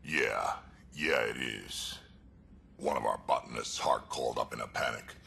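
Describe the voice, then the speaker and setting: Gravelly alien warrior. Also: male voice, measured pace, bright, forceful tone, exaggerated voice. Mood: determined. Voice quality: deep gravelly voice. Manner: blunt, growling threats. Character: gruff alien warrior man, blunt and tough. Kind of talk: video game dialogue.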